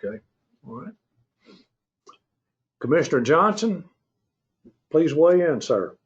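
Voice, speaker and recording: A man chairing a formal public meeting by conference call, speaking in a measured, hesitant, courteous way. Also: on the high side, big pitch swings, breathy edge. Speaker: county commissioner chairing the meeting. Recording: muffled conference call audio.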